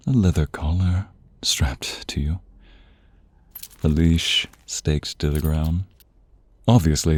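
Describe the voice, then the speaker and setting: A man voicing a seductive, domineering vampire in an ASMR roleplay, teasing and commanding in a breathy voice that often drops to a whisper. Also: sits low, darkish tone, no room sound, full character voice. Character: sinister, seductive male vampire, domineering and predatory master. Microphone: close, dry studio microphone.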